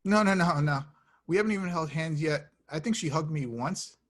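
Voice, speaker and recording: A man playing a defensive, awkward young slacker in a table read, speaking casually and deadpan. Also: a little high, normal speed. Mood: sheepish.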